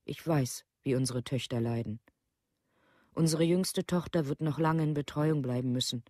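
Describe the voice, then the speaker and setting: Dark-toned, bitter grieving mother. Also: low-pitched, moderate pace, no echo, clean studio sound. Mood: grieving, accusing. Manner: formal, accusing. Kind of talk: documentary radio play, read-out plea.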